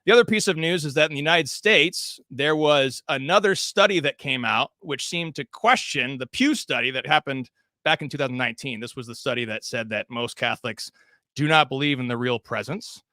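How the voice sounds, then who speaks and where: A man opens a roundtable discussion as its host, introducing the guests and the news with wry, sarcastic asides and plenty of "uh" fillers. His voice is bright and forward. The sound is dry and close, with no room around it. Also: mid-range voice, a little fast, no echo. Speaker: host and editor.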